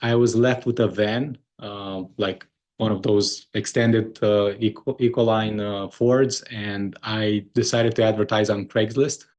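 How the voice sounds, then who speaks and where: A middle-aged man from Romania with a Romanian accent, speaking earnestly as an interview guest, often pausing on "uh" and restarting his sentences. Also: fairly low pitch, steady tone, darkish tone. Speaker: guest, moving company founder. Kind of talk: conversational interview.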